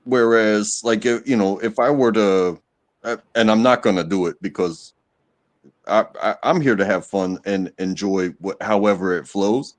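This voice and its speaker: Halting low-voiced American man. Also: fairly even intonation, dry recording. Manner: halting, many repeated words and fillers. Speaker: stream guest. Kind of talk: casual live-stream chat.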